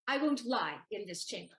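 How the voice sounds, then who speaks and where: An actress playing Susanna, a clever, teasing young maid, in a stage audio play, with a sharp, mocking wit. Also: female voice, on the high side, expressive, measured pace. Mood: stubborn.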